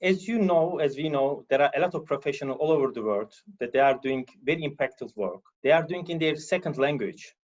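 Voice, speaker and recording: Guy hosting an interview, voice a bit on the high side, dull lo-fi sound. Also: fairly quick, few pauses, a little mellow. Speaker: interview host. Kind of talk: introducing and questioning a guest.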